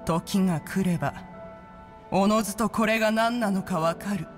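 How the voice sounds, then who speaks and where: A woman's voice: mid-range voice, normal speed, soft background music, close to an everyday voice. A woman voicing a proud, ancient female bird immortal in a video game, speaking in an archaic, imperious, pompous way. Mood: proud, grand. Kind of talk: Japanese video game voice acting.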